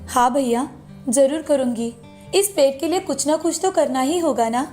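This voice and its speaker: High-voiced humble young mother, played by a woman. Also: moderate pace, faint music behind, close to an everyday voice. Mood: humble, pleading. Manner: gentle, pleading, earnest. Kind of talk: children's moral story acting.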